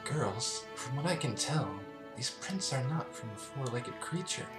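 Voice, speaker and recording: A man's voice: medium pitch, lively intonation, unhurried, over loud music, voice pushed up, natural voice. A man voicing a thoughtful, reassuring young female pony who leads her friends, articulate and encouraging, in a story reading. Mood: reassuring, focused.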